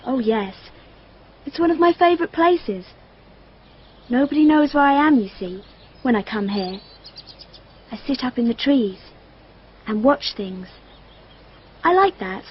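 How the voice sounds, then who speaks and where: A woman's voice: sits high, expressive, a little slow, long pauses. A woman playing a dreamy, self-possessed twelve-year-old girl in a radio drama, candid and matter-of-fact in a precise, childlike way. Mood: dreamy, confiding. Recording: dull lo-fi radio drama, noisy.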